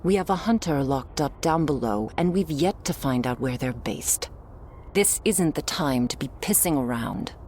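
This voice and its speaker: Woman doing a really deep-voiced bossy vampire lady, irritable n curt, audio roleplay with noise behind. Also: animated, normal speed, darkish tone. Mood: irritated. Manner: curt, scolding, commanding. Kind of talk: scripted roleplay audio drama.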